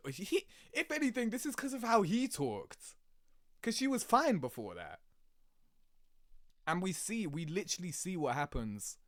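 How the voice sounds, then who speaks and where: A man giving casual, rambling reaction commentary in an animated, slangy, sweary way, laughing easily. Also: on the high side, no echo, studio quality. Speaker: video creator reacting to game cutscenes.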